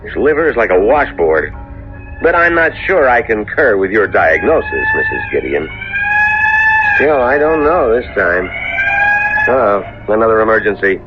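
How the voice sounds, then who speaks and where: Wry doctor, muffled old radio. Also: male voice, fairly low pitch, huge pitch swings, normal speed, over music. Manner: dry, wry. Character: wry, busy emergency-room doctor, adult man. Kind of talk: 1970s radio drama acting.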